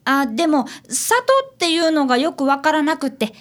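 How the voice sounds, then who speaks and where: A woman's voice: high-pitched, normal speed, natural voice. A woman playing an earnest, polite grade-school boy apprentice detective with a Kansai accent in a radio drama, both narrating and in dialogue. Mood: earnest explaining. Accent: Kansai Japanese.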